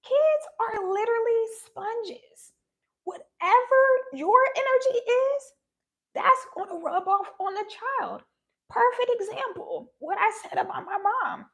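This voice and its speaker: A woman's voice: extremely high pitch, expressive, a little slow, vocal fry, fairly bright tone. A young woman talking passionately and earnestly to her viewers on a live stream. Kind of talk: personal talk to viewers.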